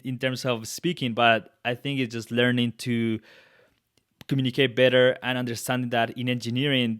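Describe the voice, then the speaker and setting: A man's voice: medium pitch, a bit bright, no echo, studio quality. A man in his thirties from Colombia with a Colombian Spanish accent, whose first language is Spanish, hosting an interview podcast in an earnest, reflective way with frequent restarts. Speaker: podcast host. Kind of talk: interview conversation.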